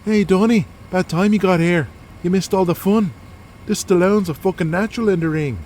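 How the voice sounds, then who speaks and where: A man's voice: high voice, a little fast, a little mellow, slight background noise, pitched above the performer's own voice, natural voice. A man voicing a taunting, boisterous male pro wrestler with a mocking, profane way of talking in an audio drama.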